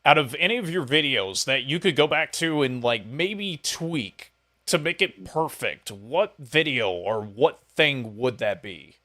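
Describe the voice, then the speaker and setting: A man's voice: mid-range voice, lively intonation, unhurried, sharp tone. A man chatting casually as the interviewer and host.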